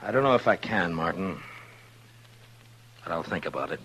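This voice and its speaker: Deep-voiced, steady frontier lawman, radio western. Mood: calm, firm. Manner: halting, understated, measured. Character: steady, conflicted frontier lawman, a grown man. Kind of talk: old-time radio western drama acting. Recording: noisy old radio recording with hum.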